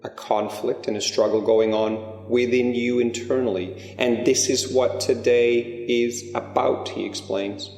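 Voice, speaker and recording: A man leading a spiritual guided meditation, speaking in slow, deliberate phrases with long pauses. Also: mid-range voice, level tone, darkish tone. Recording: echoey hall-like reverb under music. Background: music.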